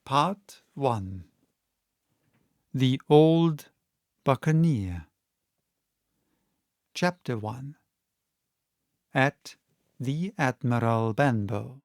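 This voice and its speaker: A man hosting and reading audiobooks, speaking deliberately in short, separated phrases. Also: mid-range voice, animated, no echo, clean studio sound. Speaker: audiobook reader and channel host. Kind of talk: casual introduction and expressive audiobook narration.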